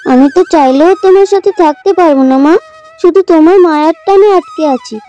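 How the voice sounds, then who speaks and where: A high, mellow little girl, calm and innocent, speaks slowly and plaintively like a small ghost in a horror story. The recording is dull and lo-fi, with music behind it. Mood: sad, calm. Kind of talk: dramatized horror story acting. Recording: dull lo-fi audio over music.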